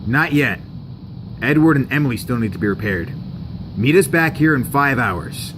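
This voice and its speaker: A man's voice: mid-range voice, expressive, moderate pace, noisy background, close to an everyday voice. A man voicing a bossy, protective steam engine leader who is blunt and firm, in a homemade story.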